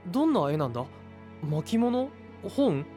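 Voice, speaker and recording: Earnest, high-voiced young knight. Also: male voice, unhurried. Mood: inquiring. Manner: youthful, sincere, expressive. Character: earnest, kind, straightforward young hero knight. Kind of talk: Japanese anime-style voice drama. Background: background music.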